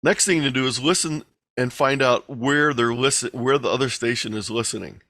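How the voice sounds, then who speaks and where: A man presents an instructional online talk in a medium-pitched voice. He explains things plainly, one step after another, as if guiding learners through slides. The video-call sound is dry, with very little room around the voice. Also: normal speed, bright, forceful tone, no room sound. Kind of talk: explanatory slide lecture, lightly extemporized. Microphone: video call microphone.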